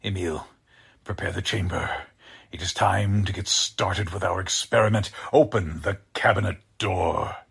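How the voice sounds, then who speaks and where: Creaky, deep-voiced mad scientist. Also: male voice, fairly even intonation, fairly bright tone, heavily acted. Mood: menacing, gloating. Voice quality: husky, creaky low voice. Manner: slow, deliberate, menacingly formal. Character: deranged, coldly polite male mad scientist, megalomaniac. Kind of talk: 1940s radio drama acting.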